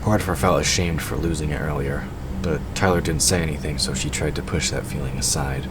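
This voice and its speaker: A man's voice: rumbling low, steady tone, fairly quick, very noisy. A man reading scripted fiction as the narrator of an audio drama.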